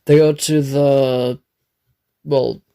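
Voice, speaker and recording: A man with a raspy, dry throat, narrating an improvised fan story, rambling and correcting himself. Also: medium pitch, little pitch movement, extremely slow, warm tone. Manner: rambling, self-correcting, swears.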